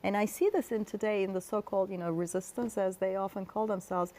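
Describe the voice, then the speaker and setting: A woman's voice: medium pitch, fairly even intonation, fast talker, mellow. A middle-aged woman from Turkey answering interview questions in a thoughtful, explanatory way, with fillers and restarts. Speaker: guest scholar.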